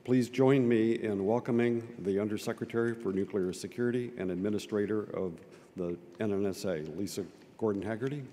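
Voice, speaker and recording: An older man giving a formal, measured introduction at a lectern, reading from notes and explaining things simply for young listeners. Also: fairly low pitch, fairly even intonation, warm tone, slight background noise. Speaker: event speaker introducing a guest. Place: large auditorium stage at a lectern. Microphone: lectern microphone. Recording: long auditorium reverb.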